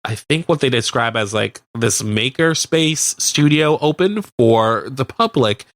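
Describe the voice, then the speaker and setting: A Canadian man chats through local news as a radio co-host. His voice sits at an average pitch with a dry, close sound. The delivery is informal and a little halting, with a light joking touch. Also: expressive, fairly bright tone, no echo. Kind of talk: casual local news chat.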